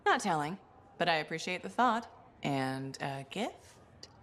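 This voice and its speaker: Low-voiced, wry mercenary hero. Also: female voice, big pitch swings, unhurried, a bit bright, slight background noise, exaggerated voice. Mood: wry, teasing. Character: dry, self-assured female mercenary hero. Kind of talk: video game voice acting.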